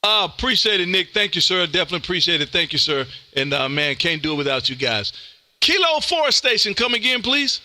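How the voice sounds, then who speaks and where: A loud, folksy man from Mississippi hosting amateur radio contacts with an exuberant, chatty on-air delivery. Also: high voice, sing-song, brisk, background noise. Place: indoor home radio room.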